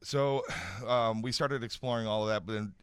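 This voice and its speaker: A man speaking informally as an expert guest in a radio interview, explaining haltingly, repeating phrases and often checking for agreement. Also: on the low side, clear, resonant tone, no room sound, studio quality. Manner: hesitant explaining, repeats phrases, seeks agreement with "right?" and "you know". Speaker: guest expert on home accessibility. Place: radio studio.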